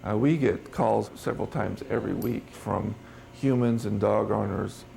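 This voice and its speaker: A man's voice: fairly low pitch, moderate pace, gravelly, warm tone. A man speaking off the cuff as a researcher being interviewed about his work. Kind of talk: spontaneous interview talk.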